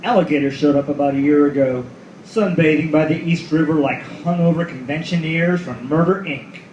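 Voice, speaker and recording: A man's voice: a little high, normal speed, a little mellow, lo-fi sound, natural voice. A man playing a cynical, world-weary detective who narrates his own noir radio play with profane, sardonic asides. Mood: weary, watchful. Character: hardboiled cynical male detective at the world's end. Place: live reading in a roomy venue. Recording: roomy live recording with faint noise.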